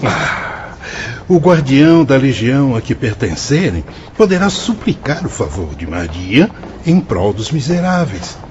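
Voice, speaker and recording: An actor with a Brazilian accent playing a benevolent, learned spirit instructor in a radio drama, formal and measured in his teaching. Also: mid-range voice, sing-song, slight background noise. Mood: patient, reverent. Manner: didactic, formal, measured oratory. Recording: dull lo-fi audio over music.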